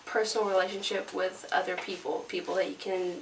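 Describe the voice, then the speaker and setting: A woman's voice: medium pitch, little pitch movement, a little fast, slight background noise. A teenage female theater student giving casual answers in an interview. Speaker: high school theater student. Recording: echoey room, distant mic.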